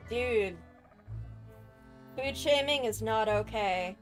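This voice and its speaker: A woman giving casual let's-play commentary, informal, halting and opinionated. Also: a little high, soft background music. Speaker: game streamer.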